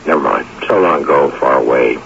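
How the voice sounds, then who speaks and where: A man acting a middle-aged former college football star in a radio drama, flustered and earnest, with halting speech. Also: a bass voice, animated, vocal fry, fairly bright tone, exaggerated voice. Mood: earnest, confiding. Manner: halting and stammering, then earnest. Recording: dull, noisy 1980 radio recording.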